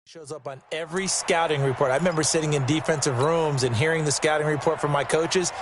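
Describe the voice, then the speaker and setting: An actor as a male ex-player football analyst talks very quickly in a slightly high voice, with clear noise behind him. He gives color commentary in a sports game. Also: steady tone, close to an everyday voice. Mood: explanatory. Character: former defensive player turned football analyst. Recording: clear background stadium noise.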